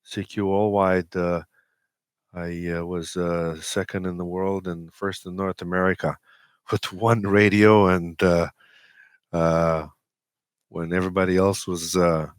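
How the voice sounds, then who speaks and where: Deep-voiced man, casual and halting. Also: level tone, clean tone, no room sound, studio quality. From Romania. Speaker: interview guest, amateur radio expeditioner. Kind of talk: casual technical interview talk.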